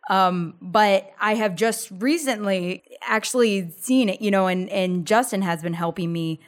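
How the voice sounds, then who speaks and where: A woman co-hosting a podcast in casual chat, talking in an animated way with her hands going. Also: medium pitch, measured pace. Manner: animated, gesturing as she talks. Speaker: podcast co-host.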